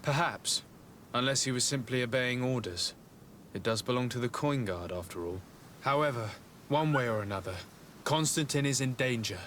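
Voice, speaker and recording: Young aristocratic game envoy, played by a man: formal, determined, mid-pitched male, probing authority, clear outdoor rumble. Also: moderate pace, fairly bright tone, close to an everyday voice. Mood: earnest, resolute. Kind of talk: video game cutscene acting.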